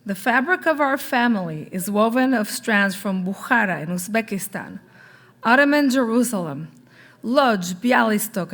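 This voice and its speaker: A middle-aged woman giving a deliberate, proud prepared speech at a gala. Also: mid-range voice, a bit bright. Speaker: parent and school supporter giving a gala speech.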